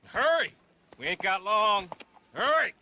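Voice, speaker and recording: A man's voice: high-pitched, big pitch swings, unhurried, sharp tone, exaggerated voice. An actor playing a scheming outlaw villain in a Western video game, taunting and mocking as he speaks. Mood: urgent. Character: sneering, scheming male outlaw villain of the Old West. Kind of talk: cinematic video game acting. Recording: faint background noise.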